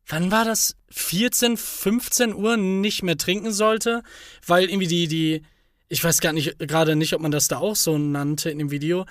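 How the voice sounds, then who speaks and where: A man's voice: fairly high pitch, fairly even intonation, normal speed, fairly bright tone, no echo, clean studio sound. A man co-hosting a podcast, teasing his partner with crude jokes in a casual, insistent way. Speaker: podcast co-host.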